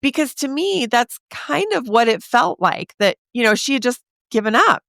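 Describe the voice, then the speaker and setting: A woman in her forties hosting a podcast, talking straight to the listener in a chatty, encouraging way, her voice a little raspy from a sore throat. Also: on the high side, sing-song, moderate pace, fairly bright tone, no echo, clean studio sound. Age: 40s. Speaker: podcast host. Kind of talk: casual podcast monologue.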